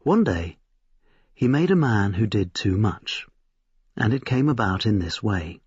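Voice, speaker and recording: A man reading a short story aloud in an expressive, scripted storytelling style. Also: fairly low pitch, unhurried, breathy edge. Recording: roomy, slightly dull recording.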